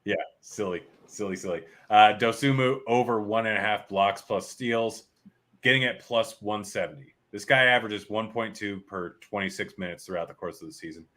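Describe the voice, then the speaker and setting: A man with a very low, bright-edged voice hosts a live betting stream. He rattles through player stats and odds, starting lines over as he checks his numbers, in easy back-and-forth chat. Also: moderate pace. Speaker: sports betting show host.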